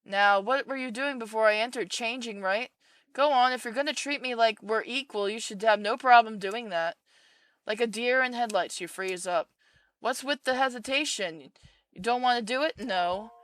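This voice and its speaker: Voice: medium pitch, moderate pace, fairly bright tone, dry recording, pitched above the performer's own voice, close to an everyday voice. A streamer voicing a controlling, possessive mother in a cold, demanding tone, raised above their own voice. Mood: commanding.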